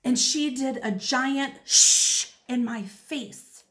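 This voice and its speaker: A woman reading a children's story aloud as a teacher, expressive and deliberate. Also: high voice. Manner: animated, deliberate.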